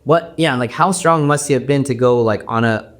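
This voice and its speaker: Man rambling thru an interview, lots of likes and you knows, normal pitch. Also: moderate pace. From United States. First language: Mandarin. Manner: rambling, many fillers and restarts. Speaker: actor guest. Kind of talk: casual interview talk.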